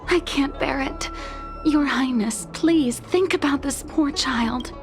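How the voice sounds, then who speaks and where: A woman's voice: high-pitched, slow. A woman playing a devoted maid in a video game, speaking formally and politely as she worries for her young mistress. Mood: pleading. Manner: formal, polite, earnest phrasing. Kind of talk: scripted video game voice acting. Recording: music faintly behind the voice.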